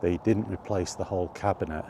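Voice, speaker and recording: A middle-aged man chatting casually and reminiscing in a halting, thinking-aloud way, in short rambling phrases. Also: sits low, fairly even intonation, creaky, mellow, slight background noise. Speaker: video creator and host. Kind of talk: casual reminiscing monologue about retro games. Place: outdoors while walking.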